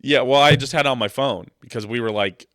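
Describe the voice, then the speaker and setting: A man's voice: medium pitch, quick pace, a bit bright, no room sound, studio quality. A young man with a stuffed-up nose from a cold, hosting a casual sports podcast and rambling with jokes into his microphone. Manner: rambling, joking, loose. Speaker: podcast host.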